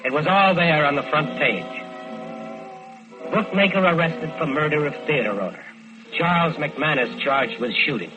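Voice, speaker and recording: An actor playing a smooth-talking American killer who tells his own crime in vintage radio drama style. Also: on the high side, lively intonation, normal speed, over music, lightly acted. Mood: tense, confessional. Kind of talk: 1940s-50s radio crime drama acting. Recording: dull lo-fi old radio recording.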